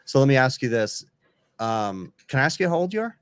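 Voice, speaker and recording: A man of about forty hosting a casual livestream chat, halting and full of fillers. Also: medium pitch, sing-song, clean tone, no echo, clean studio sound. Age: 40s. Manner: chatty, halting, many fillers. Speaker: livestream host.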